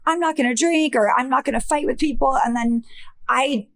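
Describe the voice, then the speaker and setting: A middle-aged woman hosting a podcast, with a high voice, talking candidly and chattily and swearing freely. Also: fairly quick. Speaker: podcast host. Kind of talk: casual chat.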